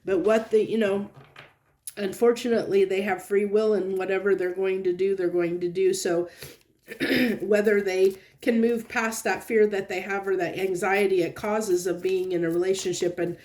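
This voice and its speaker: A woman's voice: mid-range voice, little pitch movement, moderate pace. Congested woman giving a rambling tarot reading. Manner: meandering, searching for words, gentle reassurance. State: congested, sniffly. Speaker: tarot reader. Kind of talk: casual tarot reading to camera.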